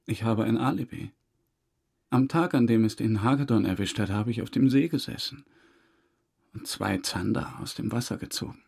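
An actor playing a defensive, wounded murder suspect in a crime drama, halting, self-pitying and insistent on his honesty. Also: on the low side, vocal fry, a little mellow, slightly rough. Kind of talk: German crime radio drama dialogue.

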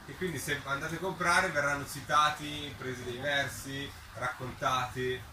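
A man's voice: medium pitch, steady tone, normal speed. Man introducing songs, distant and echoey. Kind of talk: informal spoken introduction to a live performance. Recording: distant, echoey, noisy live recording.

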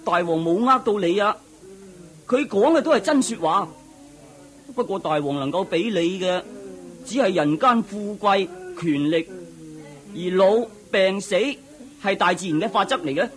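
Deferential, matter-of-fact chariot driver. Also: male voice, high voice, lively intonation, unhurried, long pauses, soft background music. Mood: frank. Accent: Cantonese. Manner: deferential, explanatory. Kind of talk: Cantonese radio drama acting.

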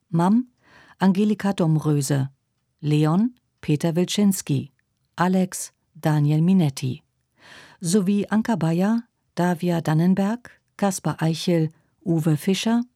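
A woman's voice: on the low side, mellow, no room sound, studio quality. A woman radio announcer reading scripted credits in a formal style.

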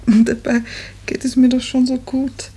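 A woman acting a gentle, anxious hospital patient of thirty in a 1950s radio drama, soft and wistful. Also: high voice, flat delivery, normal speed, husky, heavily acted. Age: 30s. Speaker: actress. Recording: noisy old 1950s radio recording.

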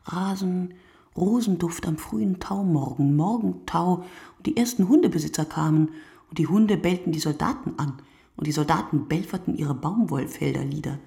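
A sleepless, reflective woman in a radio play, her low voice full of creak. She speaks in measured, short phrases, close and dry, like a literary monologue. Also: dark tone, no echo, exaggerated voice.

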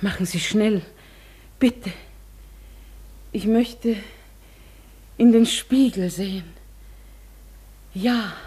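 A woman's voice: medium pitch, drawn-out words, long pauses, warm tone, breathy edge. An actress playing a genteel, wistful elderly lady in a German radio play, speaking formally with some hesitation. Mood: awed, urgent. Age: older.

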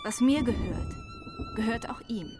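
A defiant young villager in a radio drama. Her high voice leaps proudly and emphatically through a long reverb. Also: huge pitch swings, unhurried, exaggerated voice. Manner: firm, emphatic. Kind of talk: theatrical radio drama acting. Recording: long reverb, faint fiddle music behind.

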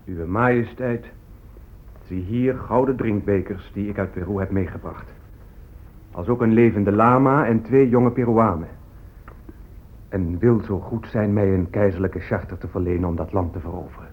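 An actor playing a courtly, plain-spoken Spanish soldier who chronicles events in a radio drama. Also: male voice, on the low side, moderate pace, close to an everyday voice. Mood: courtly, reporting. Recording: muffled phone-like old radio play.

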